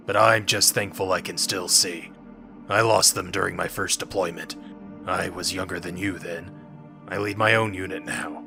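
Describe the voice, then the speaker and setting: Gravelly, deep, stern army commander. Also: male voice. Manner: gruff, authoritative, measured. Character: stern battle-scarred male military unit commander with prosthetic eyes. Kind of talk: audio drama acting.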